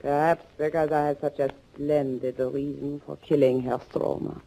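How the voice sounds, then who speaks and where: An actress playing a bereaved, steely young bride bent on revenge, with dramatic, measured delivery in 1940s radio thriller style. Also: female voice, deep voice, little pitch movement, gravelly, dark tone. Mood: cold, resolute. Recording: noisy old 1940s radio recording.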